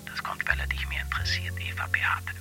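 An actor playing a dutiful male wristwatch memory assistant in a radio play, whispering everything in a flat manner and confirming each item as noted. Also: brisk, close to an everyday voice. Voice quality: whispered, toneless. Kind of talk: radio drama acting. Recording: music under the voice.

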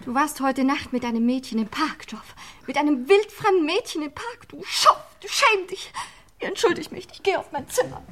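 A woman acting an excitable, easily offended young niece of a lord in a German crime radio play. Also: high voice, moderate pace, slight background noise. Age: young adult.

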